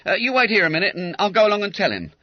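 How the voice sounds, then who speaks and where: A man voicing a school porter who talks briskly and obligingly in a raised voice. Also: sits high, big pitch swings, dry recording, voice pushed up. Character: helpful male school porter. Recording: dull lo-fi dry recording.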